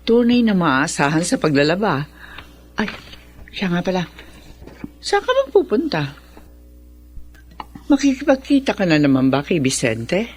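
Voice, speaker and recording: A woman playing a proud, firm middle-aged mother with an expressive, scolding way of talking in a radio drama. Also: fairly low pitch, measured pace, long pauses. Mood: probing. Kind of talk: Filipino radio drama acting.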